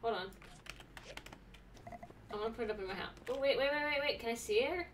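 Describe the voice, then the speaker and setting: A young woman comments sarcastically and bluntly over a game she is playing. Her voice is bright and sharp and a little high. It sits slightly back from a desk mic, with faint noise in the room. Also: recorded from a distance. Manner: sarcastic, blunt, quick reactions. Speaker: gaming streamer. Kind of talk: casual let's-play commentary. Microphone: desk mic, webcam.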